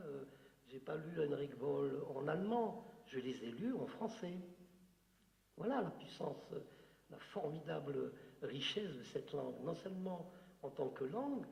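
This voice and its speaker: A man's voice: a little high, gravelly, a little mellow. A male author from Algeria, whose first language is Algerian Arabic, giving an unscripted talk to an audience, digressive and emphatic with many fillers. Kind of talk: unscripted lecture answering a question. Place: hall with audience. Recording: roomy hall with long reverb.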